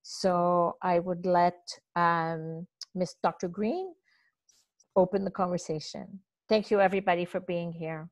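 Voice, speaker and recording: A woman opens an online panel with formal thanks, speaking haltingly and pausing on "um". Her voice sits fairly low and sounds dry and close over the call. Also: steady tone, no room sound, lo-fi sound. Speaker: event host. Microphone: video call.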